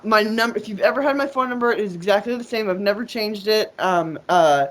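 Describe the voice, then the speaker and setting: A woman's voice: mid-range voice, a little fast. A woman guest in a casual interview, talking in a rambling, profane way with lots of fillers and restarts. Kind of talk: casual conversation.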